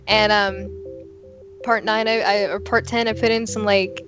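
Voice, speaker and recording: A woman's voice: mid-range voice, little pitch movement, normal speed, lo-fi sound, over music. A young woman from Oklahoma chatting in a rambling, casual way on a podcast, with lots of fillers. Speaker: podcast co-host.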